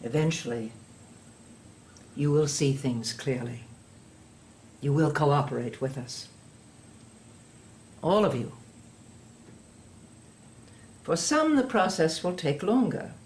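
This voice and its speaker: An actor playing a cold male indoctrinator of a regime, speaking in a slow, measured, menacing way. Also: on the high side, expressive, slight background noise. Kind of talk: audio drama acting.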